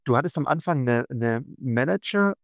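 Hesitant podcast host, dry close sound. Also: male voice, medium pitch, expressive, brisk, creaky, dry recording. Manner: hesitant, reads listener questions with many fillers. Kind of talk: casual podcast interview.

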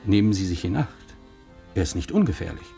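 A man's voice: low voice, very animated, brisk, creaky, warm tone, faint music behind, exaggerated voice. An actor playing a wry undercover detective in his thirties who poses as an old professor, speaking in a pointed, formally polite, theatrical way in a German radio play. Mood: grave, warning. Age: 30s.

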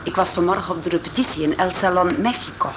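An actress playing a wary young Mexican dancer meeting in secret in a radio drama. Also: female voice, fairly low pitch, fast, lightly acted. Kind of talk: Dutch radio drama acting. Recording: clear background noise.

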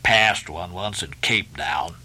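A man's voice: fairly high pitch, animated, a little slow, pushed and bright, pitched above the performer's own voice. A man voicing a sullen ninety-year-old ship's cook in a novel, muttering and growling in grudging dialect. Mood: sullen, solemn.

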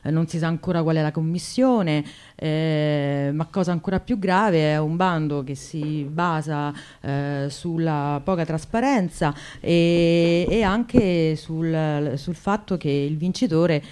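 A woman's voice: low-pitched, slow, hardly any pauses, a little mellow, clean tone. A woman activist explaining her cause as a guest on a radio show, hesitant and searching for words.

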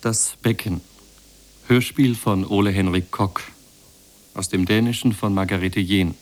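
Slow, deep-voiced man announcing. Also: fairly even intonation. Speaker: title announcer. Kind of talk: scripted credits announcement.

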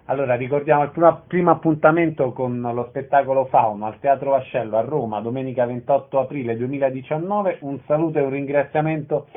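A man's voice: medium pitch, fairly even intonation, brisk, hardly any pauses, phone-quality sound. A man working as a radio interviewer, announcing details in an orderly, formal way and putting questions to guests. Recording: thin telephone-band sound.

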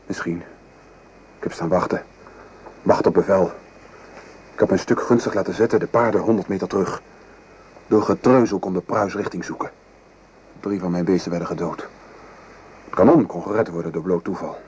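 A man playing a weary French artillery sergeant in a radio play, gravely recounting the horrors of war. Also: low voice, fairly even intonation, moderate pace, pauses often, darkish tone, close to an everyday voice. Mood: subdued, resigned. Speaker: actor. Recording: noisy old radio play recording.

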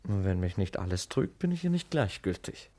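An actor playing a young gentleman son in a period radio comedy, lovestruck and guarded, with formal, measured diction and a stubborn streak. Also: deep voice, very animated. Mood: earnest. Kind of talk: German radio play acting, period comedy.

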